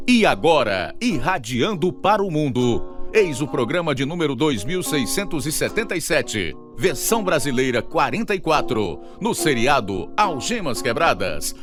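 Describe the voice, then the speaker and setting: Animated Brazilian male narrator over music. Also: medium pitch. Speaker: narrator and announcer. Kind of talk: scripted radio drama narration.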